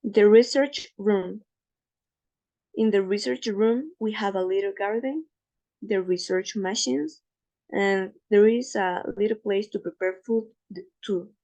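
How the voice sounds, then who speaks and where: Gravelly-voiced woman presenting slides. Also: mid-range voice, warm tone. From Ecuador. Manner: careful, slightly halting non-native English with fillers. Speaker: student presenter.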